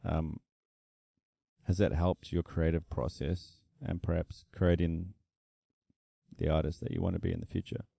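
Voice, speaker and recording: A man's voice: low-pitched, little pitch movement, measured pace, gravelly, pauses often, dark tone, no echo, studio quality. A man hosting a podcast, asking brief, easygoing questions. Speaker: podcast host. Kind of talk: casual podcast interview.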